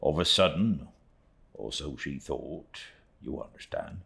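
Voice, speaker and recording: A man's voice: very deep voice, expressive, slow pace, creaky, fairly bright tone, heavily acted. A man voicing a humble, devout old seafaring uncle in an audiobook, with a rustic East Anglian dialect, earnest and plain-spoken. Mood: grave, haunted. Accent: rustic East Anglian English dialect. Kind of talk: audiobook character reading.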